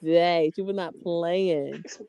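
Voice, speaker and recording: A woman in her late twenties chatting on a podcast, expressive and laughing as she acts out her own thoughts. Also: lowish voice, slow, clean tone. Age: late 20s. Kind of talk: casual podcast chat.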